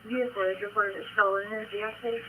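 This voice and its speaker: A man's voice: sits high, flat delivery, fast, pushed and bright, slightly rough, rough recording. A man working as a police officer or dispatcher, speaking radio traffic over a two-way scanner channel.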